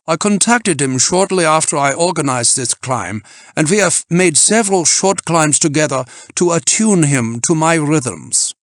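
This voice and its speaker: Man doing a stiff, pedantic climber, pitched up. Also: animated, measured pace, no echo, studio quality. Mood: forceful, admonishing. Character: domineering, pedantic German male mountain climber.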